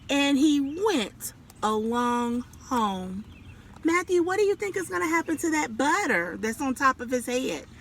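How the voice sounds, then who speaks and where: A woman storyteller reading a picture book aloud to children and pausing to ask them questions. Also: high-pitched, a bit bright, slight background noise.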